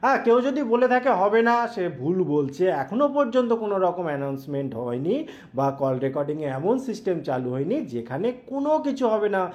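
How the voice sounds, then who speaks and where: A man's voice: high voice, sing-song, fast, darkish tone. A man hosts a tech tutorial. He explains each step directly to the viewer and stresses key points. The recording is somewhat dull and lo-fi. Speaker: tech tutorial YouTube host. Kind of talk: step-by-step spoken phone tutorial.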